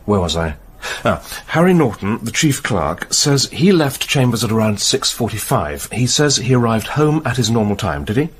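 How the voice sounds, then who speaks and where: An actor playing a senior British police detective in a radio play, husky, measured and questioning with authority. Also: male voice, on the low side, very animated. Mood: businesslike. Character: senior male police detective, methodical and probing.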